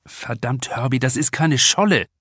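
A man's voice: medium pitch, moderate pace, a bit bright, husky, no room sound, studio quality. Urgent young male lifeguard, voiced by a man. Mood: impatient. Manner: urgent, blunt. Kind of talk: audiobook character dialogue.

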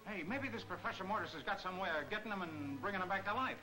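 An actor playing an eager, excitable police sidekick with colloquial talk in a 1940s film serial. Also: male voice, sits high, quick pace, rough recording. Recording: old 1940s film soundtrack, music behind.

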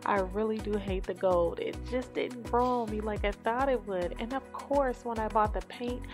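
A woman narrates her home cleaning vlog in a casual voice-over, pitched a touch high. She talks straight to her viewers and drops in light laughs. Soft music plays quietly underneath. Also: moderate pace.

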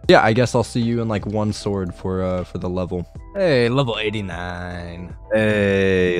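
A young man with a raspy, hoarse voice from being ill, chatting casually over his gameplay and swearing now and then. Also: deep voice, darkish tone. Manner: laid-back, swears casually. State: hoarse from illness. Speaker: gaming video creator.

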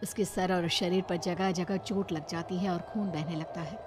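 A woman's voice: fairly low pitch, steady tone, moderate pace, over music. A woman narrating a scripted horror story.

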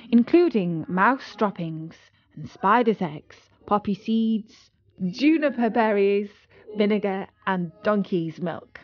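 A woman narrating a scripted story for children as a storyteller, with measured, deliberate phrasing. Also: a little high, dull audio.

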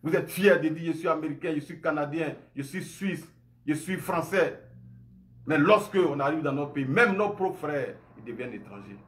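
A man's voice: on the high side, lively intonation, normal speed, a bit bright, a little hiss or hum. A man in his forties with an Ivorian French accent, speaking to camera at home in an impassioned monologue full of rhetorical questions. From Côte d'Ivoire. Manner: indignant, emphatic, rhetorical questions, gestures a lot. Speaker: online commentator. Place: home room indoors.

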